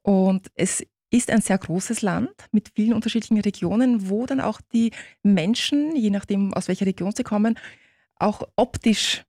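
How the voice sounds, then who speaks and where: Woman guest author from Turkey, Turkish native speaker; friendly, explanatory; mellow tone, dry close studio mic. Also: medium pitch, normal speed, dry recording. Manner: friendly, explanatory, often confirms with "genau". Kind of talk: radio interview.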